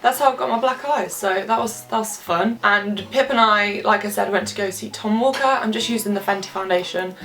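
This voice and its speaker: A young woman in her early twenties, a little stuffy from a cold, talks candidly to camera while doing her makeup. Her voice is mid-pitched and sits some way from the mic, with faint music underneath. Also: a little fast, few pauses, fairly bright tone, distant mic. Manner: candid, chatty, heavy use of filler words. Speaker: vlogger. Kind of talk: casual chat to camera. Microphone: camera some distance away.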